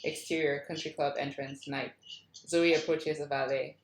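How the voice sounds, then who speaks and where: A woman's voice: deep voice, fairly even intonation, moderate pace. A woman reading screenplay stage directions as a narrator at a table read. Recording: echoey room.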